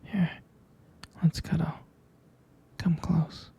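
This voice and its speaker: A man acts out a devoted, jealous boyfriend in an intimate ASMR roleplay. His voice is very dark and soft, full of gravelly fry. He confides slowly and tenderly, often dropping into a husky whisper. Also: a little high, level tone, full character voice. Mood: reassuring, tender. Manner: slow, halting, tender, confiding. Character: protective, jealous, devoted young boyfriend soothing his partner.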